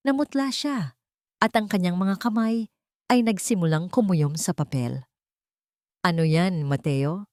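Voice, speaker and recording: A woman narrating a dramatic first-person story in a solemn, deliberate, emotive way. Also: medium pitch, very animated, darkish tone, no echo, studio quality.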